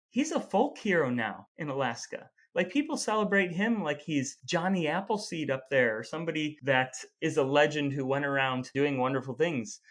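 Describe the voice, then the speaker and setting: A man co-hosting a podcast, telling a history story in a relaxed, conversational way with dry amused asides. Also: fairly high pitch. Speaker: podcast co-host. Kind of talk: casual storytelling chat.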